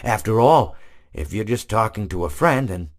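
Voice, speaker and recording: An actor playing a gentle, absent-minded old male hippopotamus animatronic who rambles and stammers through wistful stories, in video game voice lines. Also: lowish voice, lively intonation, moderate pace, lightly acted. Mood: rambling.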